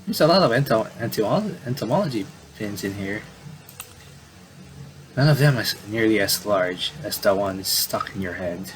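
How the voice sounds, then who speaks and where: A man doing casual game commentary, reading on-screen dialogue aloud and adding halting asides. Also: lowish voice, lively intonation, slight background noise, rough recording. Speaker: gamer doing playthrough commentary.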